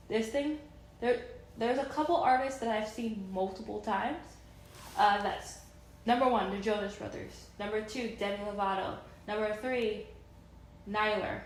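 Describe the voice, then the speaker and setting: A woman's voice: medium pitch, echoey room, slight background noise, poor audio. A woman in her thirties chatting casually and enthusiastically in a vlog, rambling with many fillers and restarts. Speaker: vlogger.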